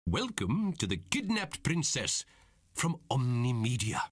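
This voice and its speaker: A man playing a court magician who guides a quest as an expressive storyteller in a video game, on noisy old audio. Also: medium pitch, measured pace, no echo, clean studio sound. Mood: storytelling. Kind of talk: video game cutscene narration.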